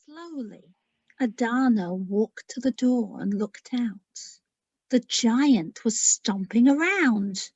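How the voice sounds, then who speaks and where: Slow, high-voiced woman storyteller. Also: expressive, darkish tone, breathy edge, no echo, dull audio. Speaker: children's author, guest. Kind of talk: read-aloud storytelling and informal talk.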